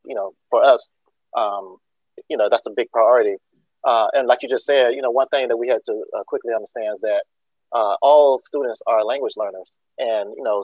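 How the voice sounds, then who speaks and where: A man's voice: mid-range voice, darkish tone, no room sound, rough recording. A man from Georgia, a school district leader answering interview questions with many ums and restarts. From Georgia, USA. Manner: many ums and uhs, restarts, earnest explaining.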